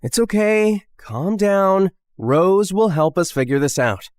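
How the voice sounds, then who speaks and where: A woman's voice: mid-range voice, expressive, takes it slowly, a little mellow, no echo, clean studio sound. A woman voicing an anxious young man who plays peacemaker, with a pleading, soothing manner.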